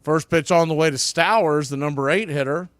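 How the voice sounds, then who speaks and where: A man's voice: a little high, fairly quick, fairly bright tone, clear, resonant tone, dry recording. A man calling a baseball game play by play in clipped phrases that build on big plays. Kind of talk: live play-by-play commentary.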